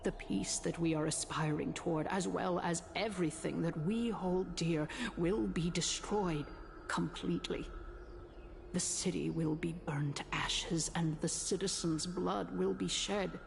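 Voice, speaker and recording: A woman's voice: lowish voice, fairly even intonation, a little slow, creaky, slight background noise. A woman voicing an imperious, scheming council elder in a video game, with formal, grave, oratorical delivery. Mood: grave, ominous.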